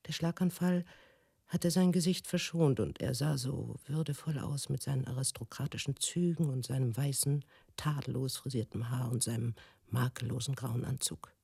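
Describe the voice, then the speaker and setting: A haunted middle-aged woman recalls her past in a radio drama. Her voice is mellow and husky, recorded close and dry. She speaks haltingly and broods, often sinking toward a whisper. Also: very deep voice, dry recording, heavily acted. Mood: hushed, remembering. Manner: halting, brooding, drifting into breathy near-whispers. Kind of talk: intimate radio drama monologue.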